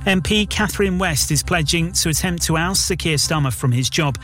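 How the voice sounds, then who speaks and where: A man reading the hourly radio news bulletin in a measured, formal way. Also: fairly high pitch, a bit bright. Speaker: radio newsreader.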